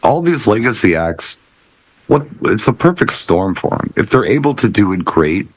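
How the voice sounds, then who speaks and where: A man's voice: lowish voice, big pitch swings, moderate pace, low-quality recording. A middle-aged American man in casual panel chat, blunt and slangy, repeating phrases for emphasis. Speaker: DJ and podcast panelist.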